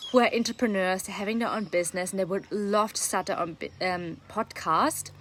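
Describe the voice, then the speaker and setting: Slow-talking German woman, earnest and eager. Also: fairly high pitch, fairly bright tone, a little hiss or hum. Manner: earnest, enthusiastic, many fillers. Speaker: podcast coach. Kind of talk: live video monologue.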